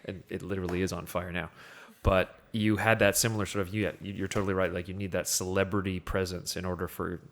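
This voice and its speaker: A man's voice: sits low, flat delivery, fast, fairly bright tone. A man in a casual film discussion, rambling and thinking aloud as a podcast panelist.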